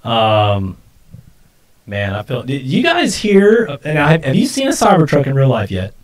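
A man in his forties co-hosts a live stream in loose, casual conversation. His voice is medium-pitched and sits a little back from the desk microphone. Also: huge pitch swings, a little slow, recorded from a distance.

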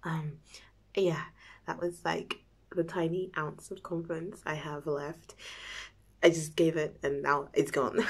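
A woman playing a nervous, giddy young woman in an ASMR roleplay, stammering softly and often whispering in a halting, giggly way. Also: deep voice, heavily acted. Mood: bashful. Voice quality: soft, breathy, often whispered. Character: nervous, giddy young woman before and after first kiss.

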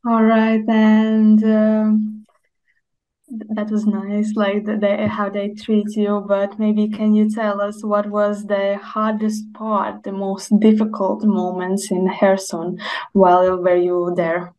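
A young woman interviewing a guest over a video call, asking slow, measured questions. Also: medium pitch, completely flat delivery, dark tone, dull audio. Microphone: laptop camera video call.

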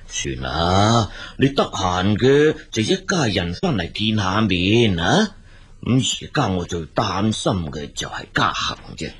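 An actor playing a weary, exasperated elderly father in a radio drama, speaking Hong Kong Cantonese with a husky voice and sighing, drawn-out complaints. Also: on the low side, sing-song, fairly bright tone, heavily acted. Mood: resigned. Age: older.

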